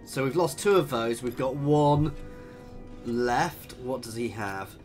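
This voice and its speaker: Man, husky, hoarse with a cold, tired, casual game commentary, music in the background. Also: mid-range voice, slow pace, darkish tone. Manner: chatty, thinking aloud with frequent ums. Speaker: gaming video host.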